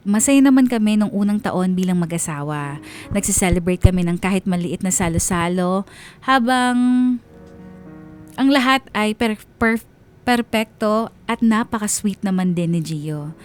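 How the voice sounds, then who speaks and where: A wistful, devoted wife in her thirties, played by a woman, narrating her own story. The pitch is medium and a soft music bed runs behind this dramatized radio confession. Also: clear, resonant tone, lightly acted. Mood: tender, proud. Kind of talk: dramatized first-person letter narration.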